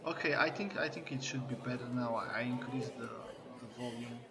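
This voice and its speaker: A man of middling pitch talks casually on a live stream, stopping and restarting his sentences as he goes. A loud crowd roars behind him. His computer microphone is set low, so he comes through quiet. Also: gravelly. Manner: halting, with frequent restarts and hesitations. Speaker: game developer.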